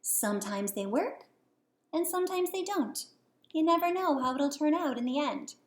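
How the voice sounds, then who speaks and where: A woman voicing a mysterious male wizard who talks in a low, hushed, knowing way. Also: animated, moderate pace, roomy sound.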